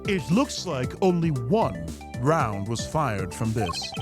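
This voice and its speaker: A man playing a composed, serious game prosecutor over clearly audible music. He is formal and precise, with expressive rises and falls in pitch. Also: slow. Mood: analytical. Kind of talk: video game dub played live.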